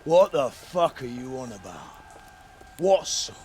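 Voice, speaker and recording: Game villain: hulking bandit thug, menacing, drawn-out taunts, extreme pitch swings. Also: male voice, very animated, a little hiss or hum. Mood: menacing disbelief. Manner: taunting, slow, swinging from shouts to growls. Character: menacing, mocking, foul-mouthed bandit brute, huge man. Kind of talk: video game cutscene acting. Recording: loud music behind.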